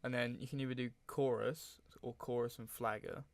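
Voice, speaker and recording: A man narrating a casual software tutorial, speaking informally and hesitantly as he thinks aloud. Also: mid-range voice, fairly even intonation, normal speed, dry recording. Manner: frequent throat clearing. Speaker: tutorial host.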